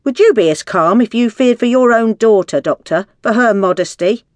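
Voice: mid-range voice, a little fast, no echo, lightly acted. An audiobook narrator voicing blunt, indignant islander women with a scolding, colloquial manner. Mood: outraged. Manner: scolding, colloquial tag questions.

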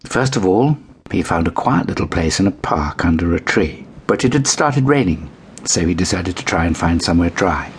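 A man narrating a children's bedtime story in an expressive storytelling style. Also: deep voice, normal speed, faint music behind. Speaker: audiobook narrator.

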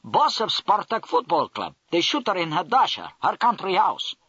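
A man's voice: on the high side, sing-song, normal speed, bright, forceful tone, breathy edge, no room sound, highs cut off, voice pushed up, heavily acted. A man reading an audiobook, voicing a big, blunt Russian army major with broken English and a Russian accent.

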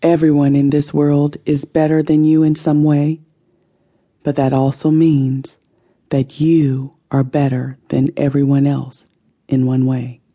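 Slow, very mellow, husky woman meditation narrator. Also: deep voice, little pitch movement. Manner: slow, soothing, deliberate delivery with soft phrase endings. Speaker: audiobook narrator.

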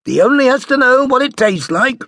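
A man's voice: very high-pitched, expressive, normal speed, a bit bright, no echo, clean studio sound, pitched above the performer's own voice, full character voice. A man voicing an exasperated, stern father who protests to his wife in an audiobook story.

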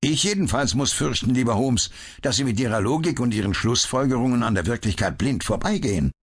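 A man's voice: mid-range voice, animated, a little fast, husky, voice pushed up. A man reading an audiobook, voicing an indignant, bitter police inspector who barks his words. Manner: blustering and bitter. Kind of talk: audiobook character voice.

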